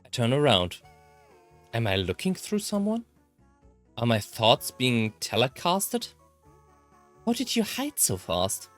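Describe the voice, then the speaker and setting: A man with a German accent reads a visual novel aloud. His mid-pitched voice moves up and down in a lively, expressive way, with game music playing behind him. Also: slow pace, long pauses. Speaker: let's player reading a visual novel aloud. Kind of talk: expressive read-aloud of first-person game text.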